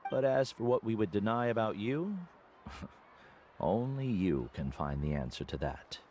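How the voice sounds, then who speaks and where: An actor playing a calm, wise old mentor in a video game, speaking in a measured, sage-like way. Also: male voice, on the low side, a little hiss or hum. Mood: gently probing.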